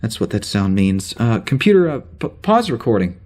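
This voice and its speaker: A man's voice: on the low side, big pitch swings, moderate pace, darkish tone, a little hiss or hum. A man playing an anxious, rambling spaceship security officer in an audio drama, speaking a log in a hesitant, wry, self-correcting way. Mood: rattled.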